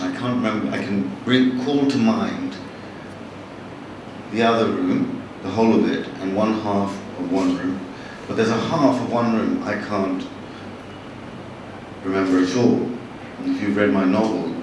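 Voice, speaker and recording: A man, a novelist giving a live talk to an audience in a wry, chatty, halting way, with frequent restarts. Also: lowish voice. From born in Bangladesh, raised in London. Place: large hall with audience. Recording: echoey hall from far off, noisy.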